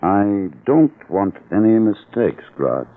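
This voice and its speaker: An actor playing a cold, commanding ringleader in an old radio crime drama, speaking in a clipped, sly and domineering way. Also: male voice, fairly low pitch, very animated, takes it slowly. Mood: menacing. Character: commanding middle-aged male ringleader, cold and menacing. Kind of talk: 1940s radio acting. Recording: tinny old radio broadcast.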